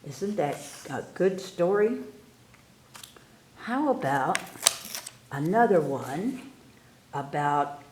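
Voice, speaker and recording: A woman hosting a library story time, reading aloud to children with deliberate phrasing. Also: deep voice, lively intonation, darkish tone, a little hiss or hum. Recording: roomy, echoey indoor sound.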